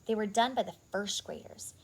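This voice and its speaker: A woman reading a picture book aloud to young children in an expressive, deliberate storytelling style, adding asides for her listeners. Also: medium pitch, slightly rough.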